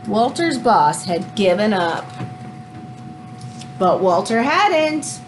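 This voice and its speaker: Animated woman reading aloud to children, high-pitched. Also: noisy background. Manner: animated, explanatory, teacherly. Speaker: teacher reading a picture book aloud. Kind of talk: expressive children's read-aloud with asides.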